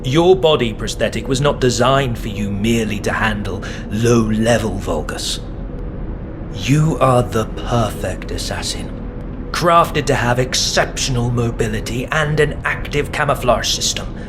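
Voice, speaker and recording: A man playing a cold, exacting military scientist in a video game, speaking in a measured, condescending lecture. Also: medium pitch, close to an everyday voice. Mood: cold, lecturing. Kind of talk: scripted video game voice acting. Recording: music behind the voice.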